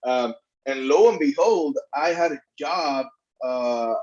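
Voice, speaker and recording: A middle-aged man from Rico, telling his story as an interview guest in a halting way with many restarts. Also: medium pitch, darkish tone. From Puerto Rico. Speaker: immigration attorney and guest. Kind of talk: conversational interview. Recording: dull lo-fi sound.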